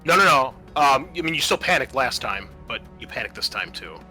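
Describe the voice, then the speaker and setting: A man acting as game master, halting and informal as he explains rules and narrates a tabletop roleplaying game. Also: medium pitch, big pitch swings, pushed and bright. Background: music.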